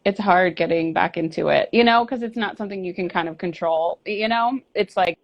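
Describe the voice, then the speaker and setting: Woman, rambling candid guest, fry-heavy voice, muffled lo-fi remote audio. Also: on the low side, fairly quick. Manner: candid, rambling, many fillers and restarts. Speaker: band singer and bassist, guest. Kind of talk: casual interview chat. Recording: lo-fi dull audio.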